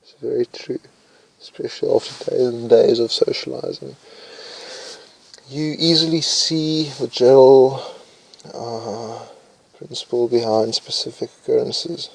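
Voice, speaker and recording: A man's voice: mid-range voice, steady tone, unhurried, vocal fry, slightly rough, rough recording. A young man casually reading personality test questions aloud and answering them, mumbling and thinking aloud with hesitations. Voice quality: says his voice is loud.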